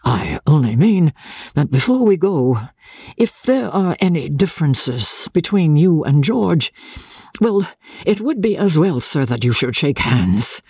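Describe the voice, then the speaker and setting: A man voicing a shy, earnest young army captain who speaks gravely and respectfully to an older man. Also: a little high, sing-song, a little slow, a little mellow, no room sound, clean studio sound, pitched above the performer's own voice, heavily acted.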